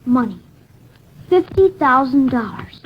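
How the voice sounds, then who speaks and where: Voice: high-pitched, big pitch swings, slow, husky, full character voice. An actor voicing a small, guarded runaway boy who acts tough in a 1950s radio drama, speaking in terse, defiant slang. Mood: guarded, matter-of-fact. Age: child figure, about nine. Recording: muffled vintage 1950s radio recording.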